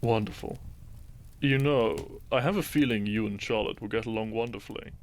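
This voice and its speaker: A man playing a gentle, devoted doctor and groom in a romantic audio roleplay, soft-spoken and measured. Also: fairly low pitch, lightly acted. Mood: tender, reassuring.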